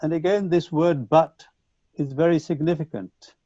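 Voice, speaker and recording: A man whose first language is Punjabi, giving an online lecture to teachers in a measured, reflective way with frequent restarts, heard over a video call. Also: a little high, steady tone, dark tone, no echo. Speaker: conference speaker. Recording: dull lo-fi video call audio.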